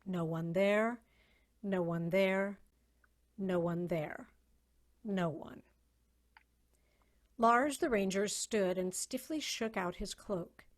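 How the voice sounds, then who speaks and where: A woman narrating a story in a solemn, measured, theatrical way, as a dramatic reading over a video call. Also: mid-range voice.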